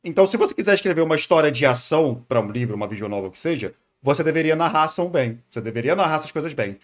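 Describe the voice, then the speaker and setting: A Brazilian man talks very quickly in a slightly high voice. He comments off the cuff like a streamer reacting to a video, casual and full of slang. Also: expressive. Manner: informal, slangy.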